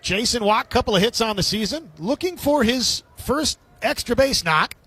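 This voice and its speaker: Male sports radio commentator, high voice, live baseball play-by-play in short clipped phrases. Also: lively intonation, moderate pace, sharp tone, slightly rough.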